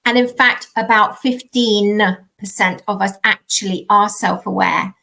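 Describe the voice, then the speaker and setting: A woman hosting her podcast, welcoming and questioning a guest with encouraging, drawn-out emphasis. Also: medium pitch, fairly even intonation, creaky, bright, forceful tone. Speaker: podcast host.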